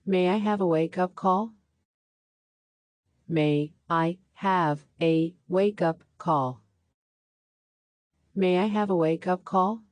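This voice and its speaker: A woman reading practice sentences aloud for a language lesson, saying each one three times with a slowed repeat. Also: fairly low pitch, no echo. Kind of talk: slow scripted sentence drills.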